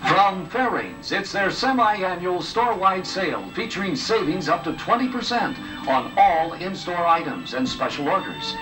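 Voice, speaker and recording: A man with a high voice reads a scripted TV advertisement over clear music. The recording sounds like a distant old videotape. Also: sing-song, normal speed. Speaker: commercial announcer. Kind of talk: scripted TV ad read.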